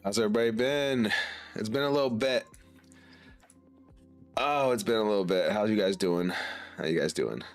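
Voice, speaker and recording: Male streamer, congested from illness, low-pitched, relaxed and profane, faint background music. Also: moderate pace, pauses often, clear, resonant tone. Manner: laid-back, swears casually. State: congested and sick. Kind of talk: casual livestream chat.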